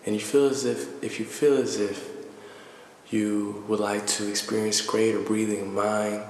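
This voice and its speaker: A man leading a guided meditation in a calm, deliberate, soothing manner, pausing between measured phrases. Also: lowish voice. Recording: echoey reverberant voice with faint noise.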